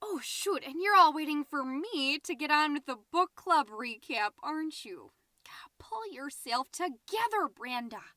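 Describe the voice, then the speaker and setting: A woman's voice: high-pitched, bright, forceful tone, no echo, studio quality, full character voice. A woman playing a chatty, scatterbrained, overexcited middle-aged suburban mom who hosts a comedy podcast, rambling, interrupting herself and stressing words theatrically. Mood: flustered. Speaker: podcast host. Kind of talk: comedic character monologue as a homemade podcast.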